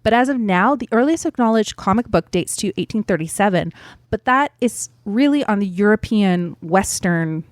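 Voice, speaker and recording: Woman podcast host talking comics history, mid pitch, dead dry sound, lots of ums. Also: animated, normal speed, no room sound. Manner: chatty, thinks aloud with fillers and laughs. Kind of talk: casual explanatory podcast chat.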